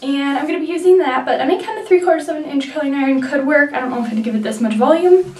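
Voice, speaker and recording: A woman's voice: high voice, fast talker, a little mellow, far from the mic. Nasal young woman, chatty hair vlogger. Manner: chatty, rambling, lots of likes and ums. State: nasal from a sinus infection. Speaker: beauty vlogger. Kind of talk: casual hair tutorial. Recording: echoey room, mic set back.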